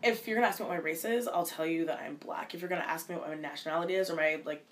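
A young woman from Minnesota talks quickly in a somewhat low voice, piling up restarts and filler likes as she answers an interviewer. A faint hum sits behind her in a quiet room. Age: 20s. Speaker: college student interviewee.

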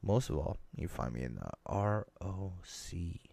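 A man's voice: sits low, very animated, moderate pace, gravelly, warm tone, dry recording. A man hosting a casual podcast chat, cracking crude jokes and swearing freely in loose banter. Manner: profane, jokey banter. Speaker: podcast host.

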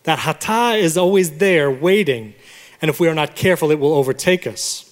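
A man's voice: on the high side, animated, normal speed. A man of Puerto Rican origin preaching a sermon as pastor, teaching words and having the congregation repeat them. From Puerto Rico. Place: church sanctuary. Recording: long reverb of a large hall.

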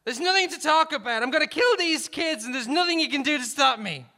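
A man playing a desperate, unhinged middle-aged school janitor holding hostages in a comic story, yelling in a strained voice. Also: extremely high pitch, expressive, a little fast, in a large hall, voice pushed up, full character voice. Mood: threatening.

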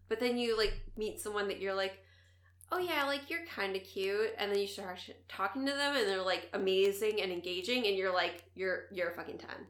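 A woman with a Wisconsin accent, talking loosely on a podcast after a few drinks. She rambles, swears freely and drags out her vowels, at a middling pitch. Her voice rings in a roomy, echoey space. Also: normal speed, a bit bright. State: tipsy. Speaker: podcast co-host. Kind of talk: casual chat.

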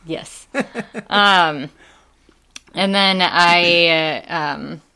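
A young woman with a Wisconsin accent talks as a podcast guest. Her voice is bright and smooth and sits a little low. She rambles easily, with fillers and laughs between thoughts. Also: very slow. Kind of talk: casual chat.

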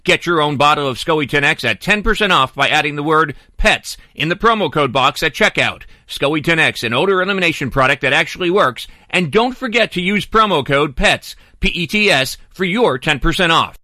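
A man's voice: a little high, lively intonation, a little fast, hardly any pauses, pushed and bright, no room sound. A man in his fifties hosting a pet call-in radio show, chatty and jokey, also reading his own ad. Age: 50s. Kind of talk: radio call-in talk and read ad.

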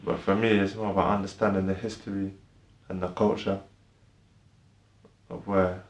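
A young man with a low, level voice speaks hesitantly as a podcast guest, trailing off and filling gaps with "like". He was born in Ireland and grew up in the UK. The sound is echoey, as if recorded in a bare room. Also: flat delivery, normal speed. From born in Ireland, raised in the UK. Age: young adult. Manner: hesitant and soft-spoken, many fillers. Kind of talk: casual conversation.

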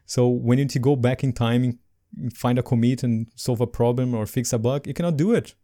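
Male podcast co-host, non-native English, mellow tone, animated delivery. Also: medium pitch, fairly quick. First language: not English. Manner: animated, laughs between points. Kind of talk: casual podcast chat.

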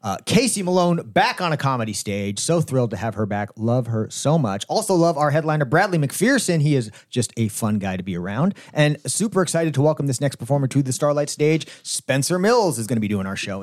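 A man's voice: mid-range voice, sing-song, a little fast, dry recording, studio quality. A man co-hosting a casual podcast, chatty and playful as he plugs shows. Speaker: podcast co-host. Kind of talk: casual chat.

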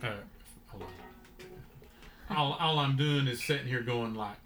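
A man hosting a podcast, telling a story in a relaxed way with many fillers, his voice a bit deeper than usual. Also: slow pace, faint music behind. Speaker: podcast host. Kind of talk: casual storytelling chat.

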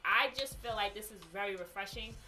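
A woman co-hosting a casual podcast, talking in a relaxed, conversational way. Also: mid-range voice, a little fast, soft background music, rough recording. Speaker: podcast co-host. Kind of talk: casual chat.

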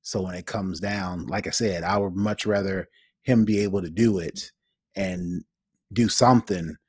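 A man's voice: deep voice, steady tone, measured pace, darkish tone, breathy edge, no room sound, studio quality. A man co-hosting a tech podcast in casual chat, explaining things informally. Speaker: podcast co-host.

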